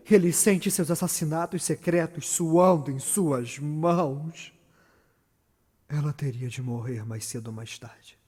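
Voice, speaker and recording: A man's voice: sits high, dark tone, breathy edge, exaggerated voice. A man with a Brazilian accent acting a tormented, guilt-ridden tyrant in a dramatic, declamatory theatrical monologue. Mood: haunted, brooding. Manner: dramatic, declamatory, swinging from murmur to cry. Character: tormented, defiant, guilt-ridden male tyrant haunted by bloody memories. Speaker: stage actor. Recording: long reverberant echo around the voice.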